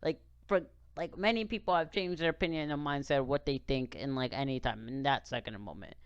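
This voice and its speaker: A man's voice: medium pitch, fairly quick, clear, resonant tone, no echo, highs cut off. A young man commenting casually on a clip, rambling with many fillers and restarts. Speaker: reaction video host.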